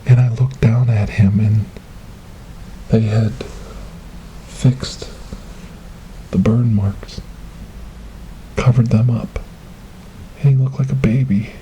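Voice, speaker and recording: A man performing an ASMR monologue as a gentle, grieving storyteller in a witch-trial roleplay, speaking softly and haltingly in a breathy, often whispered voice close to the microphone. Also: mid-range voice, fairly even intonation, slight background noise, natural voice, poor audio. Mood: grieving, tender. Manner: slow, halting, earnest, soft-spoken. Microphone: close binaural microphone.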